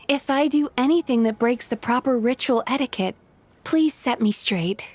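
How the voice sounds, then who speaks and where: An actress playing a gentle, polite, thoughtful young professional woman in a video game. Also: a little high, normal speed, a little hiss or hum, natural voice. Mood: hopeful, polite. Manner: polite, thoughtful, soft-spoken. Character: gentle, polite young female psychologist and aspiring writer. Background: music.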